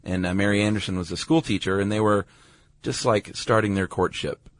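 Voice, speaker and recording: A man's voice: fairly low pitch, steady tone, fairly quick, dry recording. An American man hosting a podcast, explaining things in a casual, laid-back and hesitant way. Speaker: podcast host. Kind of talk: casual chat.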